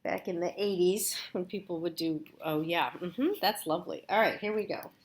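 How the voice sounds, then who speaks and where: A woman's voice: fairly low pitch, normal speed, a little hiss or hum. A woman teacher reading a storybook aloud expressively, with casual asides spoken directly to young listeners.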